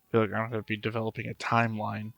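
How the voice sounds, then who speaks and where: Low, even-toned man reacting casually. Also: rapid-fire, vocal fry, no echo, faint music behind. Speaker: reaction host. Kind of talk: casual off-the-cuff commentary.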